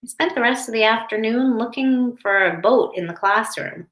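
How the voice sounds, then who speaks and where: A woman's voice: on the high side, moderate pace, a bit bright. A woman teacher reading and explaining a picture book to young children in simple, careful language. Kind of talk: children's read-aloud with explanatory asides. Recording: roomy, echoing indoor sound.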